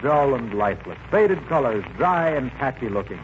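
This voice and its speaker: An actor playing an eager, earnest salesman with an emphatic, persuasive pitchman's delivery, in 1940s radio comedy style. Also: very animated, normal speed, lightly acted. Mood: earnest, urgent. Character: eager, earnest, smooth-talking salesman pleading his case. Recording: old radio recording, dull, faint noise.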